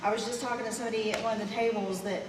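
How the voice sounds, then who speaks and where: A woman giving a halting, heartfelt tribute to her pastor and his wife, full of "um"s. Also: fairly high pitch, fairly even intonation, roomy sound, big-room sound, far from the mic, noisy background. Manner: halting, with many "um"s and "you know"s. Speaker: church member giving a tribute. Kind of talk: emotional, halting tribute speech. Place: large church fellowship hall.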